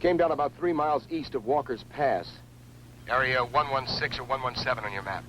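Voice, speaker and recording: A man's voice: medium pitch, fairly quick, sharp tone, lightly acted. A man acting a grim, self-blaming field engineer in a 1950s science fiction film, speaking in terse, clipped reports. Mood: terse, urgent. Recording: noisy old film soundtrack.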